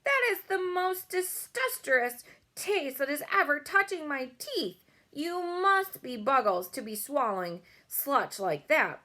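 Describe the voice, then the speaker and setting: Storybook brute: female reader as a bellowing greedy giant, pitched up, bullying, mangled grammar. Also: big pitch swings, takes it slowly, sharp tone, exaggerated voice. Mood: outraged. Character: huge greedy man-eating giant, brutish and bullying.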